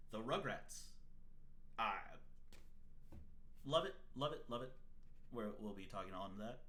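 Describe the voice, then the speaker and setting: A man's voice: medium pitch, pushed and bright. A man in his twenties hosting a casual talk show, loose and rambling, poking fun at himself. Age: 20s. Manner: loose, rambling, self-deprecating.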